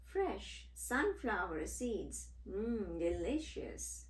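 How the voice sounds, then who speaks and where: A woman reads a children's storybook aloud in a roomy, echoing space. Her pace is slow and deliberate, with expressive phrasing and small explanatory asides for young listeners. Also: mid-range voice, a little hiss or hum. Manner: deliberate, dramatic pauses and repeats. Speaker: storybook reader and teacher. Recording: roomy, echoey sound.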